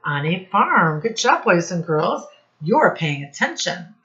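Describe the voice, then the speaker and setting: A woman teacher reading a storybook aloud to young children, encouraging and instructive, pausing to question them and stressing key words. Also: fairly low pitch, very animated, sharp tone, echoey room. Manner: didactic, encouraging, addresses children directly, emphatic on key words. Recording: dull lo-fi recording.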